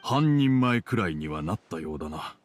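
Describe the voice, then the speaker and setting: A man's voice: sits low, very animated, moderate pace, over music. A man playing a terse, stern middle-aged tea merchant and father in a video game, curt and reserved. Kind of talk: Japanese video game voice acting.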